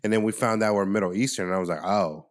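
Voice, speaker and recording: A man's voice: lowish voice, fast talker. A man bantering casually as a podcast co-host, with a blunt, teasing, slangy way of talking.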